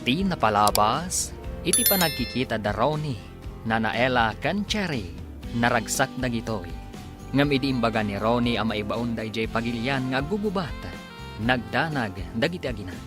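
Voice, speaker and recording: A male announcer narrates a scripted radio drama. His voice is medium in pitch, with a Filipino accent, and music plays clearly behind it. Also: lively intonation, moderate pace.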